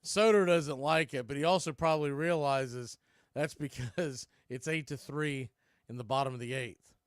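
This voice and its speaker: A man's voice: medium pitch, moderate pace, clean tone, dry recording, studio quality. A man doing live baseball play-by-play as announcer and host, conversational and opinionated.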